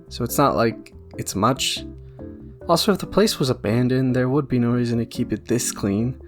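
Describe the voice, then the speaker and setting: A man giving casual fan theory commentary, rambling and jokey with a self-deprecating streak. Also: medium pitch. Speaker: YouTube video essayist. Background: background music.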